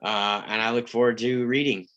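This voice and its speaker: A man's voice: mid-range voice, steady tone, moderate pace, fairly bright tone, highs cut off. A man chatting casually and effusively as he introduces himself as a voice actor. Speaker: volunteer voice actor at table read.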